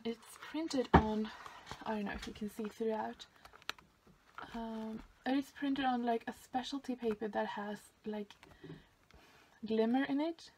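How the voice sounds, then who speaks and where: A woman's voice: on the high side, noisy background. A young woman from Sweden with a Swedish accent, chatting casually and hesitantly through a craft album, with many fillers. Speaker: craft vlogger. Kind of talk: casual show-and-tell.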